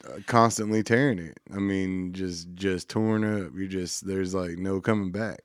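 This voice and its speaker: A man's voice: sits low, steady tone, a little slow, no echo, clean studio sound. A man co-hosting a radio talk show in a laid-back, rambling way that often trails off. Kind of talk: casual chat.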